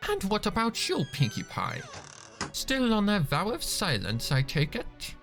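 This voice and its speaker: A man's voice: sits high, huge pitch swings, a little slow, a bit bright, faint music behind, voice pushed up, full character voice. A man voicing a theatrical male creature playing gracious host, effusive and polite, in a storybook reading. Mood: politely probing.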